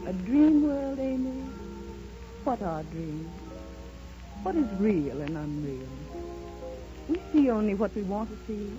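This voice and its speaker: An actress playing a blind, wistful, proud dreamer in a 1930s radio drama, speaking with theatrical emotion and deliberate pauses. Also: female voice, mid-range voice, big pitch swings. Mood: dreamy, earnest. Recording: old dull 1930s radio recording, music behind.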